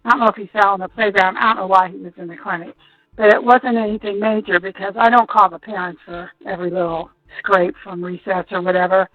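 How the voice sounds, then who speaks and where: A woman's voice: mid-range voice, fairly even intonation, quick pace, no room sound. American woman recounting memories over muffled phone. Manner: rambling, conversational, hedges recollections. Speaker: school nurse being interviewed. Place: on a telephone call. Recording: muffled, dull phone call audio.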